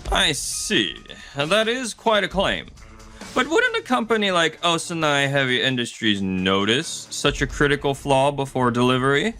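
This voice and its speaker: A man's voice: a little high, huge pitch swings, normal speed, bright, forceful tone, faint music behind, heavily acted. A man voicing a polite investor who probes for proof in formal manga dub dialogue. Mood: probing. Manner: formal, inquisitive.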